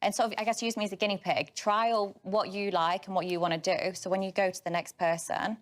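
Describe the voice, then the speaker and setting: A woman's voice: medium pitch, level tone, a little fast. A woman, answering interview questions in a matter-of-fact way. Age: 20s.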